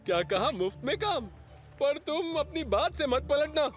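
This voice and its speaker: A man voicing an excitable, whiny middle-aged uncle who complains in a comically dramatic way in a Hindi cartoon dub. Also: very high voice, moderate pace, faint music behind. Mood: indignant. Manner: exaggerated, comically dramatic, grumbling.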